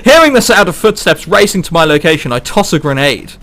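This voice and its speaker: A man's voice: fairly high pitch, sing-song, fairly quick, a bit bright. A man reading a visual novel aloud and breaking off into jokey, theatrical asides, with game music behind him. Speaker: let's play host.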